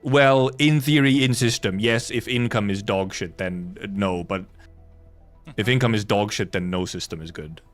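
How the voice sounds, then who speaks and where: A man's voice: fairly low pitch, moderate pace. Rambling, blunt male game streamer over music. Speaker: livestreamer. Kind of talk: casual gaming stream chat.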